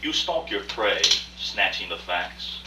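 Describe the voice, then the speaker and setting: A man playing a genteel, wealthy aging art dealer in a radio play, speaking formally and deliberately, by turns reflective and defensive. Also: low-pitched, sing-song, heavily acted. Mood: defensive, insistent. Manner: formal, courtly, deliberate storytelling. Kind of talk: radio play acting, rehearsed dialogue. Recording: distant, echoey room with faint noise and hum.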